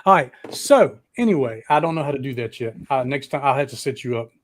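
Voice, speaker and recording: A man's voice: medium pitch, a little fast. Casual, profane man hosting a live stream. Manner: profane, rambling and excitable. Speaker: podcast host.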